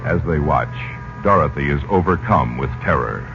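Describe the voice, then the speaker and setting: A man's voice: rumbling low, lively intonation, normal speed, gravelly, music in the background. A man announcing and narrating in theatrical, salesmanlike 1940s radio style. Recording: muffled vintage radio recording.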